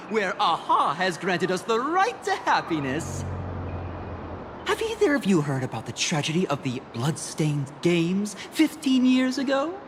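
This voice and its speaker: A woman's voice: medium pitch, background noise. A woman playing a devout, effusive recruiter for a happiness society in a video game, speaking formally and preachily. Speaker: recruiter for a mutual aid society. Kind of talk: theatrical video game dialogue.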